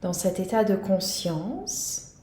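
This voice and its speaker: A woman guiding a yoga nidra meditation in a calm, gentle, soothing manner. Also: medium pitch, takes it slowly, echoey room, slight background noise. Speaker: meditation guide.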